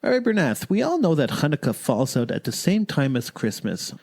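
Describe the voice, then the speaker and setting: Male talk radio host, higher-pitched and lively, asking questions. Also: a little fast, creaky. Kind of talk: radio presenting and interviewing.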